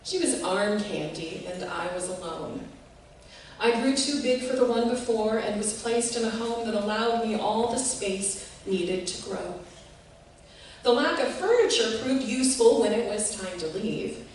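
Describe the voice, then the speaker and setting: A woman giving an expressive, measured reading of a literary piece, recorded in a reverberant room from a distance. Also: medium pitch. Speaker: reader of a short literary piece. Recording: echoey room, distant mic, faint noise.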